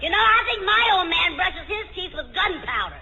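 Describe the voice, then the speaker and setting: Brash, piercing radio wisecracker. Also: female voice, extremely high pitch, big pitch swings, fairly quick, sharp tone, heavily acted. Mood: wisecracking. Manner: brassy, combative. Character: brash, man-hungry, wisecracking female quiz panelist. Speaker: comic panelist. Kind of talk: 1940s radio comedy acting. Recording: muffled 1940s radio recording.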